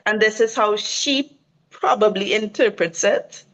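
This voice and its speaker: A woman's voice: medium pitch, a little slow, fairly bright tone, low-quality recording. A woman with a Trinidadian accent, chatting as a guest and explaining things with enthusiasm. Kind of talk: casual conversation.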